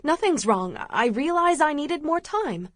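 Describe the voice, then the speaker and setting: A woman's voice: high-pitched, sing-song, unhurried, dry recording, clean studio sound, pitched above the performer's own voice. Woman voicing an anxious young woman, pleading.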